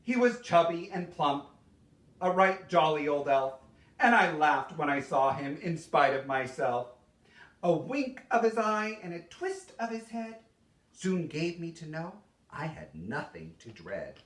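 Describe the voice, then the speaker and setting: Male storyteller for theatrical verse, higher-pitched, unhurried and dramatic, recorded from a distance. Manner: dramatic, expressive storytelling with deliberate pauses. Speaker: storyteller reading a Christmas poem. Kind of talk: theatrical poetry recitation. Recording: roomy echo, distant microphone.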